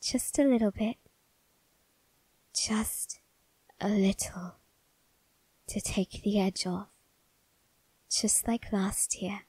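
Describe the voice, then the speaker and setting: A woman voicing a suave male vampire husband in an ASMR roleplay, coaxing softly and often whispering with quiet menace. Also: mid-range voice, steady tone, no room sound, low-quality recording. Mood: seductive menace. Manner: slow, soft, breathy coaxing, often whispered.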